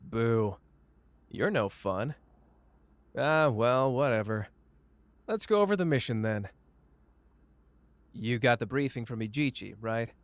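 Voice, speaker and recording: A man's voice: medium pitch, animated, slow pace, long pauses, no echo. A man playing a cocky, flamboyant young sorcerer who teases the listener in a playful, smug roleplay audio drama. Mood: breezy, teasing. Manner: playful, smug, drawling teasing.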